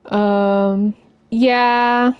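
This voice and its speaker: Slow, casual woman game streamer. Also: mid-range voice, flat delivery, clear, resonant tone, dry recording, muffled recording. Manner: halting, rambling, informal. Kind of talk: casual live-stream chat while playing.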